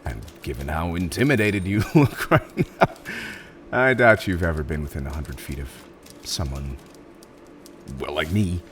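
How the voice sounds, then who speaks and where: A man performs a supervillain interviewer for a roleplay audio drama, in a low voice that swings dramatically up and down. He is theatrical and mock-professional, politely exasperated, with hesitant turns and a readiness to chuckle. Faint noise sits behind the voice. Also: animated, measured pace, long pauses. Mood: amused, businesslike.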